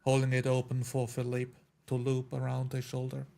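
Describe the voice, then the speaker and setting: A man's voice: mid-range voice, normal speed, gravelly. A man playing a dwarf-like character in a tabletop roleplay, plainly describing his actions. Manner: flat, matter-of-fact action descriptions. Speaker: player.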